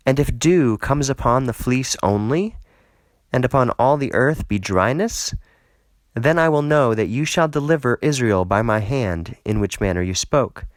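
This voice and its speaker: A man's voice: mid-range voice, lively intonation, unhurried, no echo, natural voice. A man voicing a frightened, awestruck Gideon who pleads with God in a dramatised scripture reading. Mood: earnest, pleading. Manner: pleading, exclamatory.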